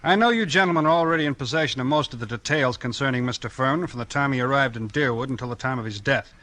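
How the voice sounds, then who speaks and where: A man acting a hard-boiled, weary police chief in a vintage radio crime drama. The voice is forceful and bright, mid-pitched, with terse, bossy delivery. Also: fast talker, no room sound, natural voice. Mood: guarded. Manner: terse, bossy, tough-talking.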